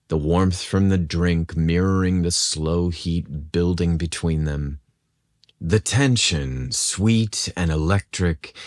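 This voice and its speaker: A man with a deep voice narrates romantic fiction. His delivery is slow and intimate, as if telling the tale to one listener. The sound is dry and close, with no room around it. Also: no echo. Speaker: story narrator. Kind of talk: scripted romantic story narration.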